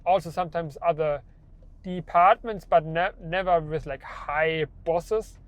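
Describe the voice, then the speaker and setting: A man's voice: on the high side, fairly even intonation, measured pace, no echo. A young man who stutters, with repeated words and restarts, talking about his own experience in a personal vlog. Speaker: vlogger.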